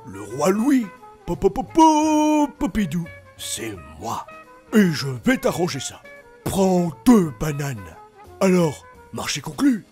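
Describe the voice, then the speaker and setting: A man voicing a flamboyant, excitable cartoon ape king who exclaims and scats showily. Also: sits high, slow pace, faint music behind, voice pushed up, exaggerated voice. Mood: gleeful, wheedling. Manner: exclaiming, scatting, showy.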